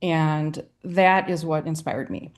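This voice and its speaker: A middle-aged woman from Wisconsin speaks as an interview guest. Her voice sits low, and she answers in an earnest, reflective manner. Light laughter slips into her speech now and then. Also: slow. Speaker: guest poet and writer.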